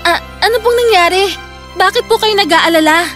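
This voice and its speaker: A sweet young princess in a children's cartoon fairy tale. Her voice is extremely high and girlish, hesitant and wistful, over prominent music. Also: fairly quick, a bit bright. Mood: confused, wistful. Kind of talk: animated children's story acting.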